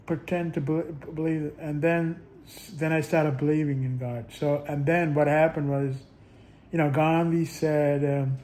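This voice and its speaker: A man vlogging in a casual, rambling monologue, halting often with restarts and little chuckles. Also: a little high, steady tone, dark tone.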